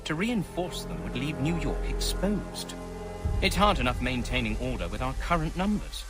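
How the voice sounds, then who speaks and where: A man's voice: medium pitch, expressive, moderate pace, music in the background, close to an everyday voice. A man playing a worried, sceptical military commander arguing in a video game scene. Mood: doubtful, argumentative. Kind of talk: dramatic game dialogue.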